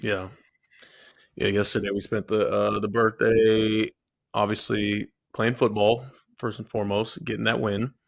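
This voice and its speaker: Gravelly playful man in his late twenties. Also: on the low side, little pitch movement, a little slow, no echo, studio quality. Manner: playful, teasing. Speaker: podcast co-host, football player. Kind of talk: casual chat.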